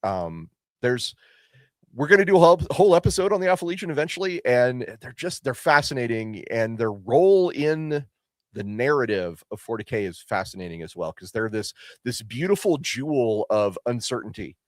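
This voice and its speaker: A man co-hosting a casual podcast, reading viewer messages aloud and reacting with excited exclamations and frequent laughter. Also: medium pitch, normal speed, no room sound, clean studio sound. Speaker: podcast co-host.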